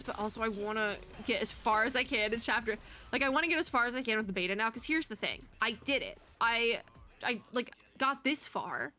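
A young woman rambles cheerfully while she plays, her voice bright and clear. Her nose sounds stuffy, and she talks in a loose, giggly way into a desk mic. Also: mid-range voice. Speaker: streamer. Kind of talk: casual gameplay commentary.

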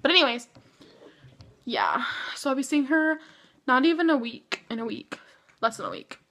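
A woman's voice: sits high, wildly swooping pitch, normal speed, a bit bright. A sixteen-year-old girl chatting casually into her phone camera for a vlog, giggly and slangy with lots of fillers. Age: teenager, 16. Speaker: vlogger. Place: outdoors in a cold yard, then a restaurant, then a bedroom. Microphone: phone or webcam held close.

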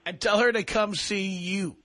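A man's voice: high-pitched, steady tone, normal speed, pushed and bright. A man hosting a call-in radio show, blunt and pragmatic with a dry, joking manner. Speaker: radio talk show host.

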